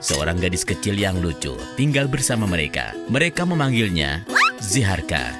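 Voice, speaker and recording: A man tells a children's cartoon story in a very low, deep voice. His delivery is animated and swings widely in pitch. Loud music plays behind him throughout. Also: moderate pace. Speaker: narrator. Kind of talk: scripted storybook narration.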